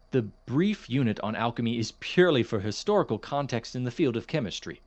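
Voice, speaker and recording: An actor playing a courteous, dismissive chemistry professor in an audio drama, speaking in a formal, measured, gently condescending manner. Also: male voice, medium pitch. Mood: dismissive, patronizing. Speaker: substitute lecturer. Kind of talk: audio drama, lecture and office talk.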